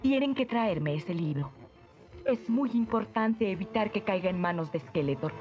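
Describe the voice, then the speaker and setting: A woman with a Latin American accent voicing a mystical guardian sorceress in a cartoon, grave and measured. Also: medium pitch, animated, close to an everyday voice. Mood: urgent. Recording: dull dubbed soundtrack with music.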